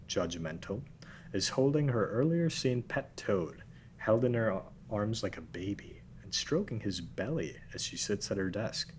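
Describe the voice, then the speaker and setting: A man reading out scene directions in an even, matter-of-fact way at a table read. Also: on the low side, slight background noise.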